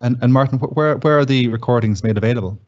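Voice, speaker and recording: A man's voice: medium pitch, fast talker, darkish tone, dull audio. A man hosting a conversational interview, often restarting his questions and repeating phrases. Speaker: host, interviewer.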